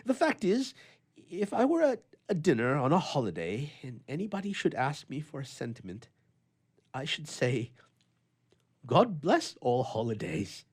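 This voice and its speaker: A man playing a hearty old-fashioned bachelor gentleman recounting a story theatrically for a radio play. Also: fairly high pitch, sing-song, measured pace, slightly rough, full character voice. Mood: indignant, hearty. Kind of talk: dramatic reading for radio theatre.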